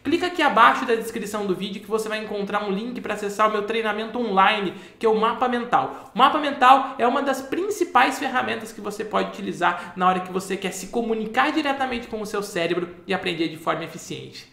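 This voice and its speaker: Man, 30s, teacher, lively to-camera explainer, gravelly, roomy small office. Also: sits high, fast talker, hardly any pauses. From Brazil. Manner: animated, gestures a lot while explaining. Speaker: memory course teacher. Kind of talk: direct-to-camera explainer video. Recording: echoey room.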